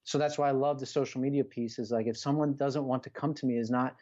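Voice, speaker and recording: A man's voice: mid-range voice, fairly even intonation, fast, darkish tone, muffled recording. A middle-aged man talking as a podcast guest and coach in an earnest, emphatic, conversational motivational style, repeating phrases and asking rhetorical questions.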